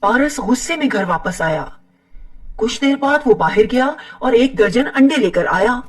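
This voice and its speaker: A woman narrating an animated moral story in a steady, moralizing telling. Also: fairly high pitch, normal speed, distant mic. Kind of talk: scripted cartoon narration.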